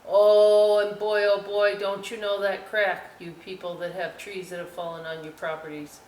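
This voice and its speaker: A woman hosting a children's storytime, reading aloud and explaining crafts with folksy, chatty, expressive asides to young listeners. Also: mid-range voice, normal speed. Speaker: library storytime host. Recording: roomy echo, distant mic, faint noise.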